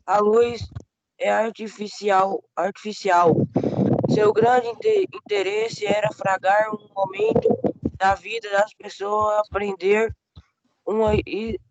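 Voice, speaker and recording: A hoarse male student with a cold, and a fairly high voice, reads a textbook passage aloud very slowly, stumbling and restarting words. The sound is dull and lo-fi, with clear noise in the background. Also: big pitch swings. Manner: halting reading, stumbles over words. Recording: noisy lo-fi call audio.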